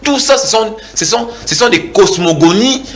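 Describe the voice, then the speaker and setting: A man's voice: high voice, expressive, normal speed, a bit bright, a little hiss or hum. Combative Congolese man in a TV debate. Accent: Congolese French. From Kinshasa, DR Congo. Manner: combative, repeats words while pressing his point. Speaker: political debate guest. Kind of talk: heated TV political debate.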